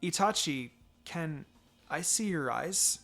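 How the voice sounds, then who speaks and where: A man's voice: on the high side, animated, slow, creaky, fairly bright tone, faint music behind, heavily acted. A man voicing a polite, hesitant, caring young ninja girl in a fan fiction reading. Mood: shy, curious.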